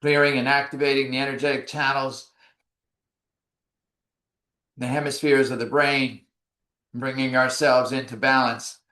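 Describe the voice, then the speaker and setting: A man leading a yoga class through breathing exercises, giving slow, calm, measured cues. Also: medium pitch, echoey room, rough recording. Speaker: yoga instructor. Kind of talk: guided breathing instruction.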